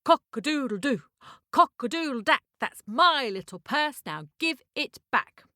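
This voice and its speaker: A woman's voice: extremely high pitch, big pitch swings, normal speed, a bit bright, no room sound, clean studio sound, voice pushed up, full character voice. A woman voicing a plucky, loyal and indignant talking cockerel in a children's story. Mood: indignant, crowing. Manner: exclaiming, protesting.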